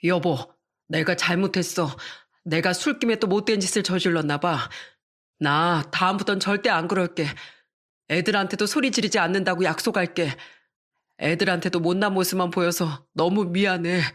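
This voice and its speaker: A woman's voice: lowish voice, moderate pace. A woman voicing a drunk, abusive middle-aged father who bullies his family. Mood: pleading. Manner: bullying, yelling.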